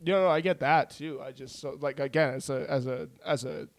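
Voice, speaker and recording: Gravelly deadpan young man. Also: on the high side, moderate pace, no room sound. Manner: deadpan teasing, mock-earnest questions. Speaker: podcast co-host. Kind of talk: casual podcast banter.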